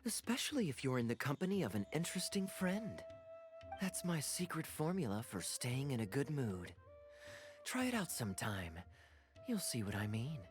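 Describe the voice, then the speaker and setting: An actor voicing a suave, easygoing adventurer in a video game, with playful charm and teasing, smooth phrasing. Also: male voice, medium pitch, sing-song, normal speed, faint music behind, lightly acted. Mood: relaxed, charming.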